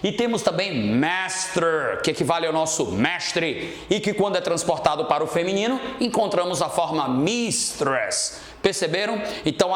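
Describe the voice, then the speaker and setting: A Brazilian man teaching a grammar lesson as an English teacher, explaining didactically and checking that students follow. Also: sits high. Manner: didactic, checks understanding often. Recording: roomy, echoing room sound.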